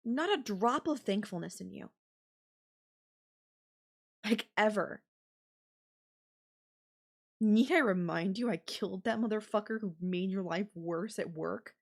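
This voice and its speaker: A possessive yandere girlfriend, acted by a woman for an audio roleplay, with a mid-pitched female voice. She takes her time over her words, sweetly condescending and sarcastic, with menace under everything she says. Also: lively intonation, creaky, full character voice. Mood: irritated, stressed. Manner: slow, sarcastic, sweetly condescending with veiled threats. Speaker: voice actor.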